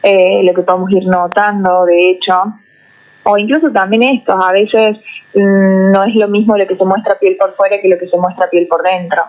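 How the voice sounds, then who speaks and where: A woman psychologist, speaking as a guest in a radio interview, explaining in a measured way and often checking in with "¿no?". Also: mid-range voice, steady tone, a little mellow. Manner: measured, explanatory, tags phrases with "¿no?". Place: radio studio. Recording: muffled lo-fi radio recording.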